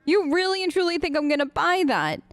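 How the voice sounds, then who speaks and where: A woman gamer hosting a role-play let's play, conversational, expressive and emphatic. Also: extremely high pitch, fairly quick, no echo. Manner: expressive, emphatic, incredulous asides. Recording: close dry mic, faint game music. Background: faint game music.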